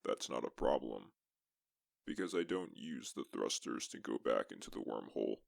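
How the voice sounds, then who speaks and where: A man narrating as a lone astronaut explorer in a suspense science-fiction story, with a gravelly voice and measured, deliberate delivery. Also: extremely low pitch, very animated, no room sound, clean studio sound, full character voice. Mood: measured, solemn. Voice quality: gravelly, creaky. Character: lone male astronaut explorer recounting a tense exoplanet mission. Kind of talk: scripted first-person sci-fi story narration.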